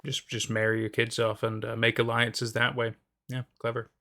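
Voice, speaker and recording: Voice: mid-range voice, monotone, moderate pace, pushed and bright. A podcast host in casual chat, reacting to a guest's story with short, enthusiastic interjections.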